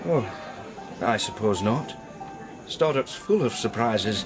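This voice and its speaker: An actor playing a discreet male art dealer in a radio crime drama, speaking in a deliberate, hesitant, euphemistic way. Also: lowish voice, animated, over music, poor audio. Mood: guarded, hesitant. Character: male art dealer George, heartbroken, guarded, discreet old friend.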